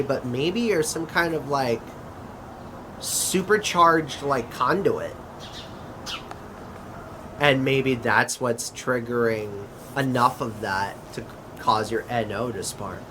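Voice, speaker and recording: Halting man acting as a curious friend, noisy recording. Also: medium pitch, expressive, fairly bright tone. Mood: curious, musing. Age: adult. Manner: halting, pausing mid-sentence. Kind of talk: amateur skit dialogue, conversational. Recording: clearly noisy, constant background hum.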